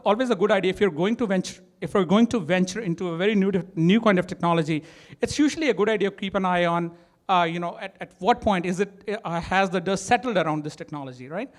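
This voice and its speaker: A man with a thick Indian accent giving a live conference talk on stage, speaking with many fillers, restarts and "right?" tags. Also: high-pitched. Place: conference stage before an audience.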